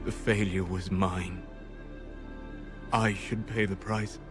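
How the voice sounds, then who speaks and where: Game role: ancient male mystic, remorseful, soft-spoken, drawn-out delivery, music underneath. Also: low voice, fairly even intonation. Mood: remorseful, solemn. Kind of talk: video game cutscene drama.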